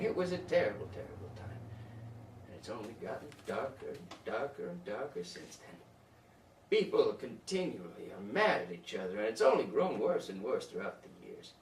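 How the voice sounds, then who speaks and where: A man's voice: medium pitch, lightly acted. A man playing a wistful, folksy village storyteller in a dramatic monologue, leaning on repeated words. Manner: dramatic, folksy, emphatic repetition. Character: wistful male villager and storyteller mourning darker times. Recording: echoey room, far from mic, noisy.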